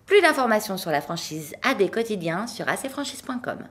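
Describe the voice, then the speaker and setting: Woman news anchor, animated pitch, clear measured read, hosts interviews. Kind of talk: scripted news narration.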